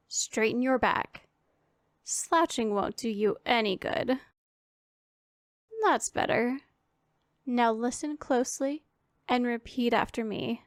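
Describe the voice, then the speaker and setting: A woman's voice: on the high side, dry recording, clean studio sound. A woman playing a powerful female demon who is commanding yet secretly caring, speaking with formal, imperious authority in an ASMR roleplay. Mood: firm, commanding. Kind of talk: scripted ASMR roleplay addressed to the listener.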